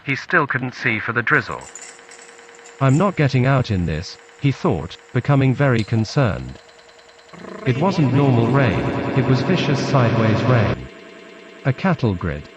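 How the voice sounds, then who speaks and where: Scottish guy reading out a story, deadpan n clipped, bit muffled w some noise behind. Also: mid-range voice, a little slow. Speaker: narrator, storyteller. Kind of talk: literary story reading in Scots-flecked English. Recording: muffled lo-fi recording with background noise.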